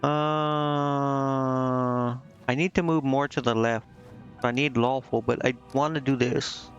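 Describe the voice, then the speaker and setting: A man's voice: medium pitch, fairly even intonation, takes it slowly. A man streaming a video game, reacting in slangy, muttered asides as he plays. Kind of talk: casual game stream commentary, reading dialogue aloud. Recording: muffled, band-limited stream audio with background music. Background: game music.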